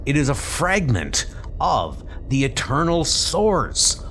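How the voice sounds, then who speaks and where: A man's voice: a little high, huge pitch swings, slow pace, fairly bright tone, slight background noise, heavily acted. A man voicing a portentous, urgent cosmic god who declaims warnings in a comic book dub. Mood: grave urgency.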